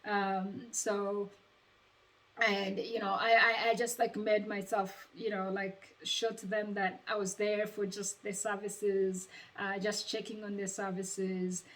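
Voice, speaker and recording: A woman's voice: mid-range voice, little pitch movement, slow pace, a bit bright. A young woman from Uganda with a Ugandan accent, giving casual, encouraging advice in a conversational vlog style. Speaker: vlogger sharing sales advice. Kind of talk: casual personal advice talk. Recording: distant microphone.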